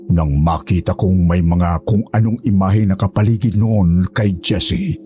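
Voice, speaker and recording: A man narrating a scripted horror story in the first person as its storyteller. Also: lowish voice.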